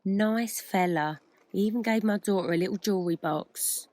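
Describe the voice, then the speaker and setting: A woman's voice: medium pitch, moderate pace, a little mellow, no room sound, lightly acted. An actress playing a blunt, nosy working-class Victorian mother in an audio drama. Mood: wary, chatty.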